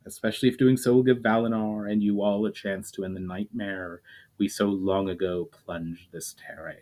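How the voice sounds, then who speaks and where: A man voicing an ancient, weary elemental spirit who speaks slowly, solemnly and formally. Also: lowish voice, natural voice.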